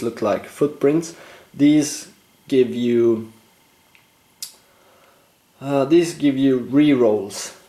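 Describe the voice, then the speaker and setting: A man narrating a board game play-through, explaining rules and thinking aloud with frequent fillers, his voice going slightly hoarse. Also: medium pitch, warm tone, roomy sound. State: losing his voice, clearing throat. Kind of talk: casual gameplay commentary.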